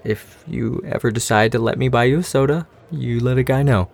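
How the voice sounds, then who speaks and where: Audio drama role, male actor: friendly young male newcomer, natural, relaxed and gently joking delivery. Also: medium pitch, a little fast, vocal fry, slight background noise, natural voice. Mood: kind, reassuring. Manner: casual, lightly joking.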